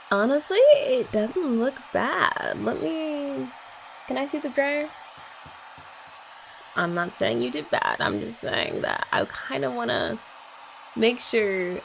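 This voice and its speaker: Young woman, ASMR girlfriend roleplay; playful, teasing, lively pitch, some vocal fry, faint dryer noise behind. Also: slow, rough recording. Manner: playful teasing chat, soft-spoken. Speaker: ASMR creator.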